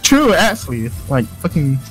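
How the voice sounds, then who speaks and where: A man's voice: fairly high pitch, sing-song, moderate pace, poor audio. Joking man narrating a game, faint music. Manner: profane, joking. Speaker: gamer reading narration aloud. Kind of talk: casual visual novel playthrough narration.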